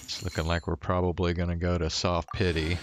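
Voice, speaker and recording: A man giving casual, low-key live commentary as he plays a game, muttering to himself. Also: monotone, fairly quick, noisy background. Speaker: game streamer. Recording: music behind the voice.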